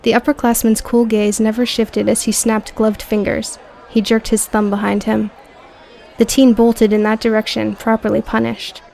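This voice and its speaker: A woman's voice: on the high side, level tone, moderate pace, vocal fry, a little mellow, a faint crowd, poor audio. A woman reading scripted audio book narration as the narrator.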